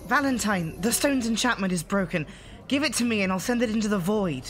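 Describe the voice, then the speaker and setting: A woman's voice: medium pitch, lively intonation, a little fast, close to an everyday voice. An actress playing a grave, commanding woman of magical authority in an audio drama, speaking formally.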